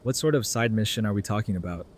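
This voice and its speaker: A man's voice: lowish voice, fast talker, slight background noise, close to an everyday voice. A man playing an easygoing, curious survivor in an audio drama, with a casual, wry way of talking.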